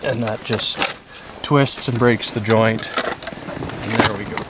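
A man's voice: on the low side, steady tone, slow. A man working as a butcher, giving a plain, practical step-by-step demonstration outdoors on a farm while he works. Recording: outdoor recording with generator noise.

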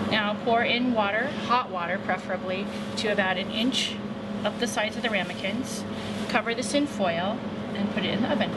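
An older woman, a pastry chef, calmly talks through a recipe step by step as she cooks in a large restaurant kitchen. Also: fairly high pitch, very noisy. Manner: calm step-by-step instruction while cooking. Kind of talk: cooking demonstration.

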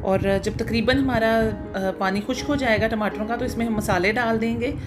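A woman hosting her home cooking channel, explaining each step in a homely way with frequent filler pauses. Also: a little high, fairly even intonation, over music. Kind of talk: home cooking demonstration voice-over.

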